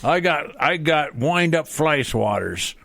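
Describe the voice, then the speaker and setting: A man plays a gruff old-west handyman with a gravelly, forceful voice, recorded dry. He brags in a folksy, competitive way, like a showdown rival in a comedy radio skit. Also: fairly high pitch, animated, slow, dry recording, full character voice. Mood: competitive boasting.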